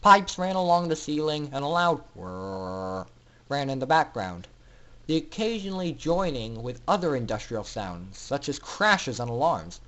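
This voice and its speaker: A man's voice: mid-range voice, sing-song, measured pace, fairly bright tone. A man reading a story aloud as narrator in a theatrical, dramatic style. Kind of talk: dramatic scripted fan fiction narration.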